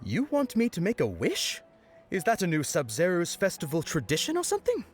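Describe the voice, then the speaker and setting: A man playing an earnest, bashful young festival worker in a video game, with a hesitant, expressive delivery. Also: high-pitched, fairly quick. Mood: friendly, nervous. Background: music.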